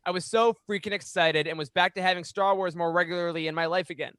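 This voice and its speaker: A man in his thirties with a notably high voice presents a fan podcast. He speaks emphatically and with animation. The sound is dry and close on a studio mic. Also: steady tone, fast talker, a bit bright, clean tone, no echo. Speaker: podcast host. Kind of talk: reading aloud and hosting a fan podcast. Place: small home room, indoors. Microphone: close studio microphone on boom arm.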